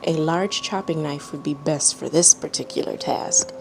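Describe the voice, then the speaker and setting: Low, gravelly woman reading a story aloud. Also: moderate pace, background noise. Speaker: fan fiction reader and channel host.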